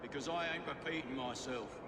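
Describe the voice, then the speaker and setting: An actor with a British accent playing a menacing, curt Victorian gang boss in a video game. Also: male voice, mid-range voice, brisk, heavy background noise, natural voice. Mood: stern, threatening. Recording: roomy echo, loud music behind.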